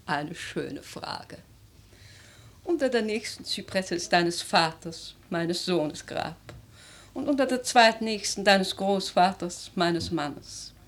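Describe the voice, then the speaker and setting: A woman's voice: lowish voice, expressive, takes it slowly, heavily acted. An actress playing an old aristocratic grandmother in a radio drama, blunt, dry and commanding. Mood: wry, tart. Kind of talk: theatrical German radio drama.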